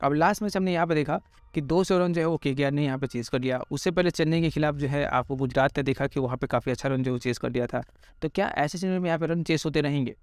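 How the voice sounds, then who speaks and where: A man's voice: a little high, fast, clear, resonant tone, no room sound, soft background music. An Indian man presenting informal sports analysis, explaining things repetitively and addressing listeners directly. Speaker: cricket pitch report presenter.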